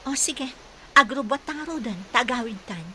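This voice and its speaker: A woman's voice: high voice, animated, normal speed, sharp tone, a little hiss or hum, full character voice. A woman acting an evasive character who brushes off concern in an audio drama. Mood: evasive, yielding. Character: woman brushing off concern about her heart. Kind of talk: Ilocano radio drama acting. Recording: music clearly under the voice.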